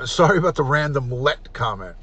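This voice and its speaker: A middle-aged man hosting a casual livestream, chatty and repetitive, often clearing his throat. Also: mid-range voice, expressive, a little fast, fairly bright tone. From New York. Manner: chatty, repeats himself, frequent throat clearing. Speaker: livestream host, retired detective. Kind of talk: casual livestream chat, reading comments aloud.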